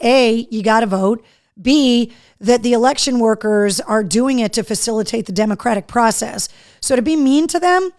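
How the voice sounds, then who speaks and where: A woman hosting a live show in casual chat, her voice hoarse, chatty and loose. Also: fairly high pitch. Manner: chatty, talks to her dog between remarks. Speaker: host.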